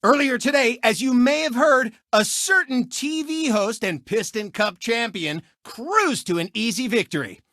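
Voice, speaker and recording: An actor voicing a boastful, smug race car who hosts a racing TV show in a video game, with a cocky, sneering, showboating delivery. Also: male voice, very high voice, lively intonation, a little slow, hardly any pauses, pushed and bright, no echo, clean studio sound, full character voice. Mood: gloating. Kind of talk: animated sportscaster commentary for a video game.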